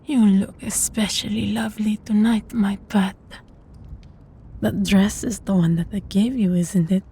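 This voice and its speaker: Woman as a slow, breathy, sadistic vampire mistress. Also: medium pitch, fairly even intonation, warm tone. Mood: seductive, possessive. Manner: slow, teasing, condescending, often dropping to a breathy whisper. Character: sadistic, possessive female vampire master, silkily menacing. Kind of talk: intimate roleplay audio drama.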